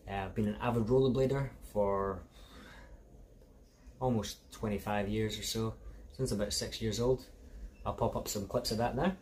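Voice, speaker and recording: A man's voice: on the low side, moderate pace, long pauses, slight background noise. A Scottish man in his thirties, a cobbler chatting casually to viewers from his small shoe repair shop. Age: 30s. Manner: relaxed, chatty, addresses viewers as guys. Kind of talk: casual workshop vlog.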